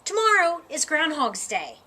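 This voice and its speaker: A woman's voice: high-pitched, wildly swooping pitch, unhurried, pushed and bright, pitched above the performer's own voice, full character voice. A woman voicing an outspoken, worried little bunny in a children's storybook, earnest and emphatic.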